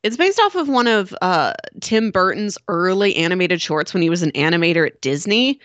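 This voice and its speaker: A woman's voice: on the low side, expressive, moderate pace, a bit bright, no echo, highs cut off. A woman co-hosting a casual film discussion, explaining things informally with plenty of "like" thrown in. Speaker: podcast co-host.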